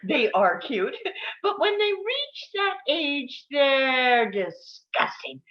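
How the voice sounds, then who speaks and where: A woman's voice: sits high, very animated, extremely slow, lo-fi sound, exaggerated voice. A woman playing a tired, blunt housewife and mother in her thirties, emphatic and crude, in a comedy table read. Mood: gushing.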